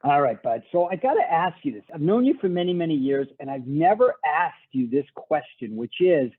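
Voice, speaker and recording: A man's voice: fairly high pitch, sing-song, brisk, breathy edge, no echo. A man with a Boston accent hosting a podcast interview, asking questions in a chummy, casual way. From Boston, Massachusetts. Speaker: podcast host. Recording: tinny phone-like line.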